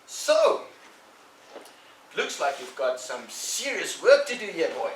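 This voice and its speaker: A man's voice: a little high, big pitch swings, takes it slowly, distant mic, a little hiss or hum, voice pushed up. A man voicing a mocking boy bully a couple of years older than the hero, in an echoey room away from the mic. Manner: mocking, wheedling, loud.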